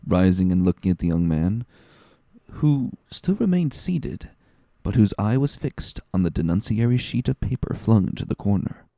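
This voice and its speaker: Low, mellow, expressive male narrator. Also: moderate pace, gravelly, no room sound, studio quality. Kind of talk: dramatic audiobook narration.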